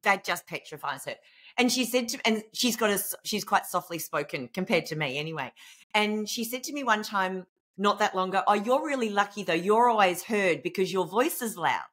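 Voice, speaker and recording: Loud, animated woman on a video call. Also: medium pitch, a little fast. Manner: animated, talks with her hands, laughs easily. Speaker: podcast host. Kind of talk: conversational interview over video call. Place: indoor home room. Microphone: video call webcam.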